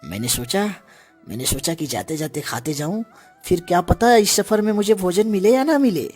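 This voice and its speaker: A man's voice: sits high, lively intonation, moderate pace, darkish tone, faint music behind. Whiny, food-loving cartoon parrot played by a man. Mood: sheepish, chatty. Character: food-loving, timid, excuse-making male parrot.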